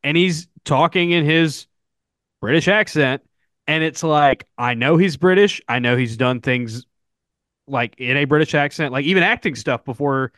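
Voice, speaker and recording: A man's voice: on the high side, moderate pace, a bit bright, no echo. A man with a Southern American accent, co-hosting a podcast and chatting casually, rambling with lots of fillers. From Southern United States. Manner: rambling, frequent fillers and restarts. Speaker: podcast co-host. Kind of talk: casual sports podcast chat.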